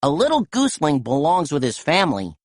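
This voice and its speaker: A woman voicing a confident young boy who leads a team of rescue pups, calm and encouraging as he gives orders. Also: sits low, a little fast, no room sound, clean studio sound, exaggerated voice.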